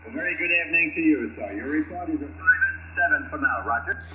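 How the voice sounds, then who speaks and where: A man from France with a French accent and halting delivery, making casual ham radio contact over shortwave. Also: on the high side. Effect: shortwave radio transmission. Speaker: amateur radio operator. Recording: noisy, narrow, echoing shortwave radio reception.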